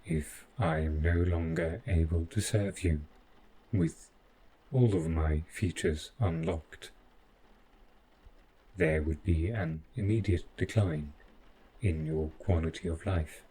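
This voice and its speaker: A man playing an overprotective robot butler gone rogue, speaking with formal, measured politeness in a scripted audio roleplay, calm and possessive. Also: a bass voice, husky, heavily acted, poor audio. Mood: calm, explanatory.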